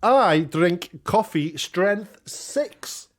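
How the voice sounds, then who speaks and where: A man's voice: sits high, expressive, extremely slow. A British man giving casual opinion commentary in a sarcastic, mocking way, sounding exasperated and incredulous. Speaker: YouTube commentator.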